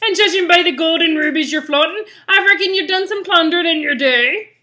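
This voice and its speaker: A woman's voice: very high voice, brisk, sharp tone, pitched above the performer's own voice. A woman voicing a cranky, blustery male pirate captain who bellows in pirate slang for a storybook. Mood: gruffly demanding. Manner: bellowing, rhyming pirate slang with bad grammar.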